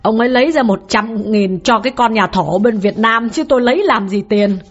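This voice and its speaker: A woman voicing an aging wife betrayed by her husband, shrill and sobbing in her complaints, in an audiobook. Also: expressive, a little fast, a bit bright. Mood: tearful. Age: older. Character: aging betrayed wife, bitter and grief-stricken. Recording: slightly dull, faint background music.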